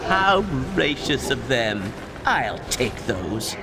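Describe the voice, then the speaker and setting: A woman's voice: rumbling low, sing-song, slow pace, very noisy, exaggerated voice. A woman playing an unhinged, cruel and spoiled game villain, taunting theatrically. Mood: manic glee. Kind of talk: video game villain acting. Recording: loud music under the voice.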